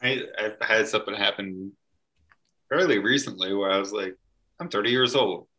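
Man, 30s, from Ohio, bright tense tone, lowish pitch, wry and joking; podcast co-host. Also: normal speed, rough recording. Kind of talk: casual chat.

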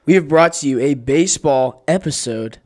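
A man co-hosting a sports podcast, hoarse and raspy from a sore throat, chatting in a laid-back way. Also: a little high, normal speed, darkish tone. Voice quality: raspy, losing his voice. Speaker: podcast co-host. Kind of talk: casual sports podcast chat.

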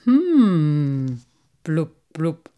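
Storybook carp, played by a woman: thoughtful male fish, unhurried, lower register, bubbly verbal tics. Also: animated, mellow. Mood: pleased. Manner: deliberate, bubbling blub interjections between phrases. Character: slow, thoughtful old-fashioned male carp, loyal and easily moved. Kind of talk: storybook character voice.

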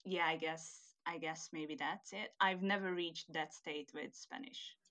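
A woman's voice: low-pitched, fairly even intonation, measured pace, pushed and bright. A young woman from Hungary, a native Hungarian speaker, chatting casually in English as an interviewee, laughing often and searching for words. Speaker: interviewee, student. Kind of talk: casual conversation.

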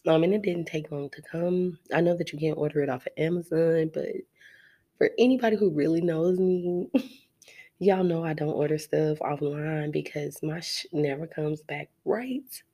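Low-pitched woman host, nasal from a cold, talking casually and laughing to her listeners. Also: moderate pace, dark tone. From Arizona. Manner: chatty, laughs often, addresses listeners directly. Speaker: podcast host. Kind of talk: casual solo podcast monologue.

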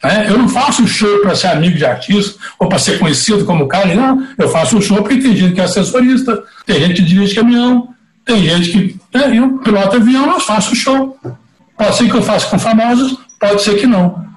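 This is a Brazilian man of middle age or older, with a notably high-pitched voice. He talks casually in a Brazilian Portuguese accent, like a seasoned producer handing down stories and advice with emphasis. The sound is clearly roomy and echoey. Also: fairly quick. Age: middle-aged or older. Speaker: interview guest, veteran concert producer. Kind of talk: casual anecdotal storytelling.

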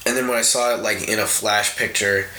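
A man's voice: fairly low pitch, fairly quick. A young man with a cold and a stuffy nose, sounding nasal, chatting casually about sneakers and saying "like" a lot. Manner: relaxed, lots of "like". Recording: echoey room with background noise.

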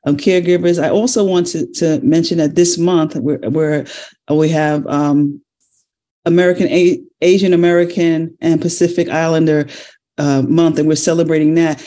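Mellow-voiced male interviewer, rambling questions. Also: fairly high pitch, fairly even intonation, moderate pace. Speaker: host.

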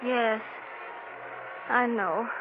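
An actress playing a gentle, sorrowful wife in a 1940s radio drama, on a tinny, noisy old recording. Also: female voice, a little high, steady tone, extremely slow. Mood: anxious, sad. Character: gentle, sorrowful young wife of an amnesia patient.

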